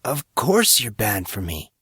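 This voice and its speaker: A man's voice: on the low side, huge pitch swings, unhurried, a bit bright, breathy edge, no room sound, clean studio sound, full character voice. A man voicing a joking, tender young man in an audiobook story, casual and teasing. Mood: joking, amused.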